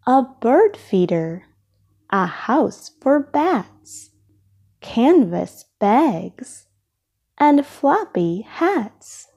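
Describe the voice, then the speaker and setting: A woman with a slightly husky voice reading a children's storybook aloud, expressively and with deliberate phrasing for young listeners. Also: medium pitch, mellow. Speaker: storybook reader.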